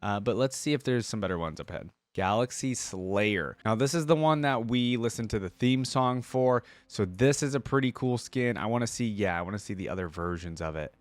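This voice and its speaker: Male reaction host, lowish pitch, informal thinking-aloud commentary with slangy phrasing, dry close sound. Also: lively intonation, a little fast, hardly any pauses, no echo.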